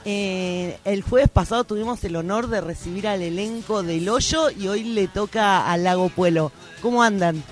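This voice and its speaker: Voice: medium pitch, moderate pace, hardly any pauses. A radio host with a playful, clowning manner, interviewing guests live on air. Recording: music behind the voice. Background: music.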